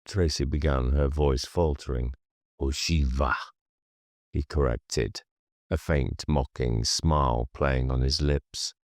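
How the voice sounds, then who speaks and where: A man narrating horror fiction for an audiobook in a measured, deliberate, hushed storytelling manner. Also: very deep voice, steady tone, dry recording, studio quality.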